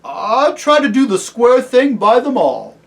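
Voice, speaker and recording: A man's voice: very high voice, sing-song, unhurried, vocal fry, voice pushed up, full character voice. A man voicing a humble working-class cabbie from a storybook, with a Cockney and English country accent and a flustered, deferential, stammering manner. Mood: earnest. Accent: Cockney shading into English country. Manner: hesitant, deferential, plain-spoken, stammering. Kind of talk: storybook character reading.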